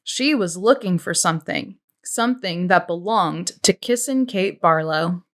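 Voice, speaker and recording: A woman's voice: fairly low pitch, animated, measured pace. A woman reading a children's novel aloud as scripted narration. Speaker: audiobook reader.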